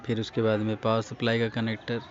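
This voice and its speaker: Indian guy doing a casual how-to, talks pretty monotone straight to viewers, kinda muffled lo-fi audio. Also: fairly low pitch, fast, some chatter behind. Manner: informal, instructional, addressing viewers directly. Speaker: printer repair technician giving a tutorial. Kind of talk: casual hands-on tutorial talk. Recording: dull lo-fi recording with faint noise.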